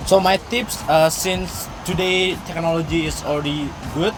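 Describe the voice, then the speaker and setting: A young Indonesian man with an Indonesian accent, answering interview questions slowly in careful, hesitant English. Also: on the high side, fairly even intonation, background noise. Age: young adult. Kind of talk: street interview.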